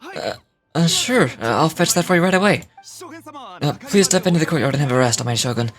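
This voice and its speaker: Casting: eager, fawning game servant, nervous stammer, rapid delivery, music behind. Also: male voice, fairly high pitch, big pitch swings, a bit bright. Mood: flustered. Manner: hesitant, stammering, obsequious.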